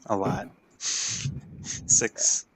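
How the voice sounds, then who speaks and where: A man's voice: extremely slow, fairly bright tone, no echo. A man talking casually as a player in a tabletop role-playing game. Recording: very noisy mic with clicks.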